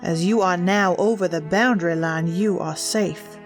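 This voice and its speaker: A woman playing a solemn, deliberate gobbler ghost who leads turkey spirits in an audio drama. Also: lowish voice, soft background music, natural voice. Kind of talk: audio drama acting of a children's story.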